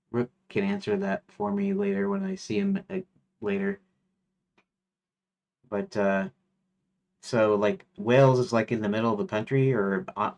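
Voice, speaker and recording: A man chatting casually while streaming his game development, rambling with lots of fillers and restarts, sometimes reading lists aloud in a flat mutter. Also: on the low side.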